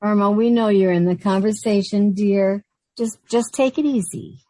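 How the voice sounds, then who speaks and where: Actress as proper young secretary, wry and long-suffering, middle pitch, radio comedy, dry close sound. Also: female voice, normal speed, dark tone, no echo, close to an everyday voice. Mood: polite, strained. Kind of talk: 1940s radio comedy acting and narration.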